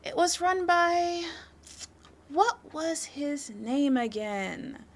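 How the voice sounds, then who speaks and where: A woman acts out a sly, flirty female suspect in an audio roleplay, toying with a detective. Her delivery is unhurried and highly animated, swinging in pitch and settling into a creaky edge. She stays confident, coy and playful. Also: heavily acted. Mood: playful teasing. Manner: coy, teasing, playful delivery with breathy drops into whispers. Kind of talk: flirtatious scripted audio roleplay, addressed to the listener.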